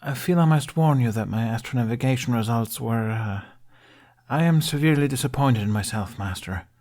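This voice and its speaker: A man's voice: mid-range voice, a little fast, darkish tone, natural voice. A man voicing an earnest, troubled sixteen-year-old male apprentice in an audiobook, polite, deferential and hesitant. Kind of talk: audiobook character voice.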